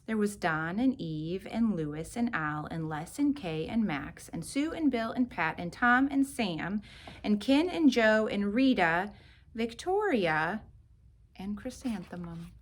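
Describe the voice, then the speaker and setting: A woman reading a storybook aloud to young children, with expressive and deliberate phrasing. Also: mid-range voice. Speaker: teacher reading aloud to pupils.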